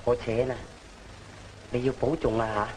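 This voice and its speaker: An actor playing a courteous, dutiful young scholar in an old Cantonese opera film, earnest, with a Cantonese accent. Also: male voice, medium pitch, steady tone, moderate pace, darkish tone, a little hiss or hum, natural voice, low-quality recording. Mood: gentle. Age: young adult.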